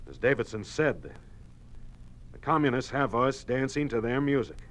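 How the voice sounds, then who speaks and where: An actor playing a distraught middle-aged American husband and plant manager in a 1950s radio drama, agitated and halting. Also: male voice, mid-range voice, lightly acted. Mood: agitated, grave. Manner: halting, agitated delivery, repeating words.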